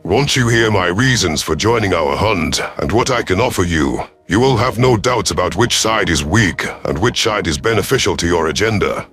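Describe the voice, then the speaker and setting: A man voicing a proud, calculating veteran alien rebel leader in a sci-fi audio story, measured, formal and imperious. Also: low voice, expressive, fairly bright tone, soft background music. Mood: calculating pride.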